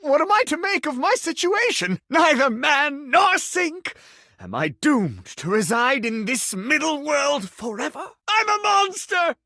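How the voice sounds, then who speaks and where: A man's voice: very high voice, big pitch swings, measured pace, pushed and bright, dry recording, clean studio sound, exaggerated voice. A man voicing a pompous, melodramatic talking sink in a video game, with the formal diction of a self-important claims adjuster. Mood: anguished. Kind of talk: video game character acting.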